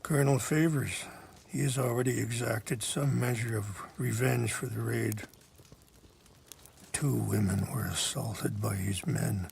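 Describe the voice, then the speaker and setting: Slow, creaky-voiced old chief. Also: male voice, mid-range voice, a little mellow, slight background noise. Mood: grave, dry. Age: older. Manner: measured, reflective, pausing. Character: gentle, weary old chief, a disappointed father and peacemaker. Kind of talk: video game cutscene acting.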